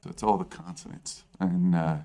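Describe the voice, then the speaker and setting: A man co-hosting a podcast in casual chat, with a low bassy voice and a self-deprecating, rambling way of talking. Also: level tone, normal speed, creaky, dark tone. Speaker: podcast co-host.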